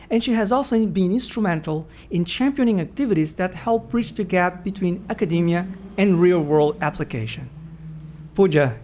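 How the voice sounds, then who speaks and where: A man's voice: high-pitched, darkish tone. A man hosting an interview, reading a formal guest introduction and then asking friendly, encouraging questions. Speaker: interview host. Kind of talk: podcast interview.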